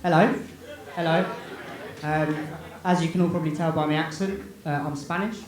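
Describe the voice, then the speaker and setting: A man's voice: a little high, fairly even intonation, normal speed, crowd noise. A man with a British accent doing a stand-up set, with a dry, wry delivery. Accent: British English. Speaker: stand-up comedian. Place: comedy club stage. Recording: very echoey venue with background noise.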